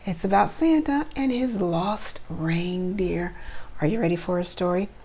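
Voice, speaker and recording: A woman preschool teacher doing an animated read-aloud for young children, with simple encouraging questions. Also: on the low side, unhurried.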